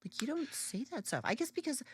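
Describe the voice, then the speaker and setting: Animated low-voiced woman joking around. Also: brisk, dry recording, clean studio sound. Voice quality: deeper alto voice. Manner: brash, joking, swears freely; animated, lively pitch. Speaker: podcast co-host. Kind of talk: casual chat.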